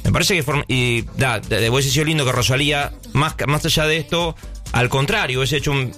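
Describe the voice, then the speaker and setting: A man's voice: medium pitch, a little fast, pushed and bright, soft background music. A man with a Rioplatense Spanish accent, ranting with fervor as a co-host on a radio talk show. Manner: fervent, ranting. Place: radio studio.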